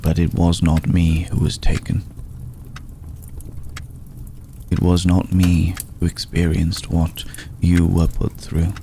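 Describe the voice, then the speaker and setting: Guy doing an ancient courtly vampire for asmr, super low gravelly voice, hushed n formal, protective. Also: completely flat delivery, slight background noise. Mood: solemn, apologetic. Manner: formal, measured, deliberate pauses. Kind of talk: ASMR roleplay, slow intimate scripted monologue.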